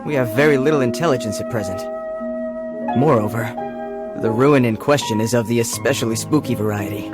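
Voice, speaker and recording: Guy voicing a game soldier guard, stiff n official, very earnest, loud music behind him. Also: medium pitch, a little fast, natural voice. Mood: grave, dutiful. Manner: formal, dutiful, stiffly official. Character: earnest, formal male city guard soldier on patrol. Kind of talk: video game voice acting.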